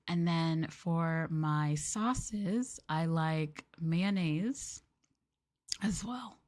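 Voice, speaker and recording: An American woman streamer chatting casually and softly to her viewers in an expressive, ASMR-style live chat. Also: low-pitched, extremely slow. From United States.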